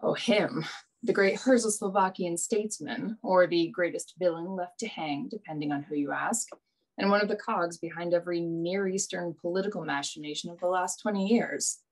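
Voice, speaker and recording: A woman's voice: on the low side, moderate pace, rough recording. A woman playing a brash, wry young male adventurer in a radio play, with a dry, teasing manner. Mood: knowing.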